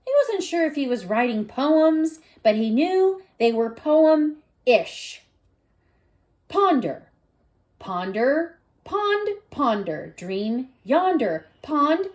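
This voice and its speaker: Female primary teacher for story time: slow, very lively delivery, savors words, invites listeners to think. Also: high-pitched. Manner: gentle questioning, prompting listeners to think. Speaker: teacher reading a picture book aloud. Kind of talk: expressive children's read-aloud with asides to listeners.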